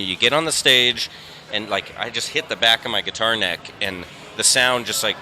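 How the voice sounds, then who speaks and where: A man's voice: on the low side, sharp tone, slight background noise. A man in a rock band, a father, speaking earnestly and reflectively in a casual outdoor festival interview.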